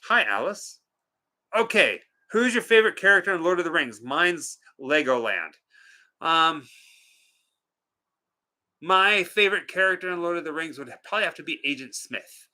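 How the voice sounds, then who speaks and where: A man's voice: a little high, expressive, unhurried, long pauses, sharp tone. A man co-hosting a live stream, chatting casually and reading out viewers' comments with a joking, teasing manner.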